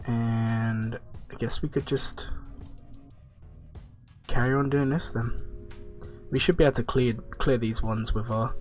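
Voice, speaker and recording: A man with a blocked nose and a nasal voice, chatting casually on a gaming livestream and answering viewers' questions in a rambling, relaxed way. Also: medium pitch, unhurried, pauses often, faint music behind. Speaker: streamer.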